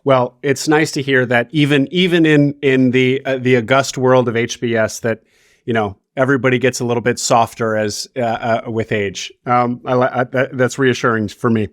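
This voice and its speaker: A man's voice: mid-range voice, steady tone, normal speed, dry recording, clean studio sound. A man hosting a podcast interview, talking conversationally with emphatic rises on key words. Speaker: podcast host.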